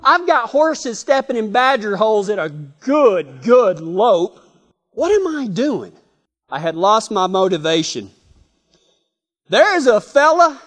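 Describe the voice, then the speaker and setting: Texan guy preaching, high-ish voice, lots of restarts and little laughs, big hall echo. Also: big pitch swings, slow, a bit bright. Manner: rambling, self-correcting, chuckling storyteller. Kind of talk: folksy storytelling sermon.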